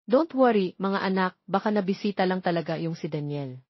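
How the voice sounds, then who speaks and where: A woman's voice: on the low side, animated, a little fast, no room sound, lo-fi sound. A woman voicing an affectionate, soothing mother of young twins in an audio romance story. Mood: tender, reassuring.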